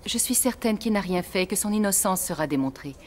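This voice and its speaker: A woman's voice: mid-range voice, animated, fast talker, darkish tone, a little hiss or hum, close to an everyday voice. A woman playing a kindly, encouraging ballet teacher in a cartoon, giving instruction over music. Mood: gentle, reassuring. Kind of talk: dubbed cartoon acting. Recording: music behind the voice.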